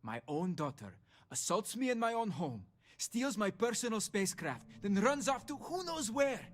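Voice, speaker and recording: A man's voice: high voice, very animated, normal speed. An actor playing a proud, bitter, middle-aged father, haughty and theatrical, in a science fiction video game. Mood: angry, indignant. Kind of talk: video game character dialogue.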